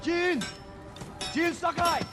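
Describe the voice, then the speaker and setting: A man's voice: very high-pitched, fairly even intonation, takes it slowly, music in the background. A man acting a brash mercenary ronin leader in a video game cinematic, blunt and familiar with a wry edge. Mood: urgent calling.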